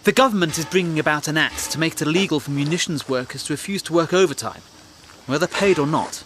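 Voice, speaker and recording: Guy playing polite english war reporter, lightish higher voice, wry n earnest, radio drama, bit of bg noise. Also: fast, lightly acted. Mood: earnest, probing. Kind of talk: period audio drama acting.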